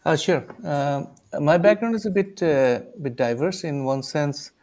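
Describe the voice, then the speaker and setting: A man's voice: fairly high pitch, a little slow, darkish tone. A man from Bangladesh, answering interview questions as a startup founder over a video call, restarting phrases and saying "uh" often. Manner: hesitant, restarts phrases, many "uh"s.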